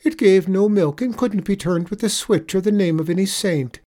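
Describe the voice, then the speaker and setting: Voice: medium pitch, moderate pace, warm tone, voice pushed up, close to an everyday voice. A performer voicing a wily little Irish leprechaun man in a storybook tale, coaxing with a mournful lilt. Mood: piteous, pleading. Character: wily little leprechaun man spinning pitiful sob stories.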